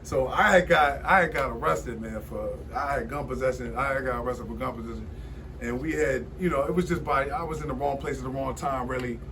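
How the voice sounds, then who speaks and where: A man's voice: mid-range voice, fairly quick, recorded from a distance, background noise. A man in his forties telling stories in a casual studio conversation, rambling with lots of fillers and restarts. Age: 40s. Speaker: guest.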